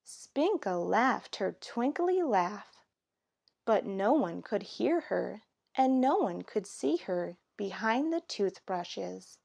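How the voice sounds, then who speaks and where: A woman reading a children's story aloud in an expressive, measured storytelling manner. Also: mid-range voice, creaky. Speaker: storybook reader. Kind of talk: children's story read aloud, scripted narration.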